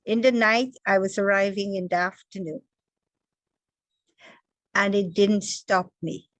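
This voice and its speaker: A woman's voice: medium pitch, fairly even intonation, a little slow, a bit bright, no echo. A woman from Guyana, giving an inspirational spiritual talk as a meditation teacher, stressing her words one at a time in emphatic lists.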